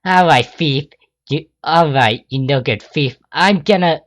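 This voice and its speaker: A man reading a story aloud and voicing a brash, tomboyish young pegasus mare who yells when angry. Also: on the high side, very animated, no room sound, studio quality, voice pushed up. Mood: furious. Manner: loud, indignant, quick to yell.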